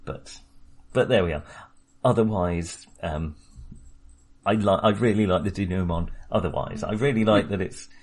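A man co-hosting a podcast, chatting about a film in a wry, animated way. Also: sits low, moderate pace. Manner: chatty, wry, animated when making a point. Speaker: podcast co-host. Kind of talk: casual film discussion.

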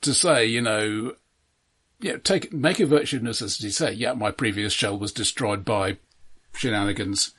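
A man's voice: fairly low pitch, normal speed, fairly bright tone, slightly rough. A man co-hosting a casual, critical film discussion with a wry, drily sarcastic way of talking. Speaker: film discussion podcast co-host.

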